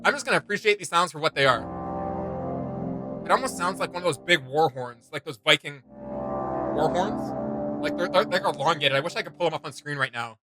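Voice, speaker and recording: A man hosting a reaction video, chatting casually and excitedly in a rambling way full of "like". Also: on the high side, brisk, long pauses, loud music behind the voice. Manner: enthusiastic, rambling, frequent "like" filler. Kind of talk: casual excited chat reacting to game sounds.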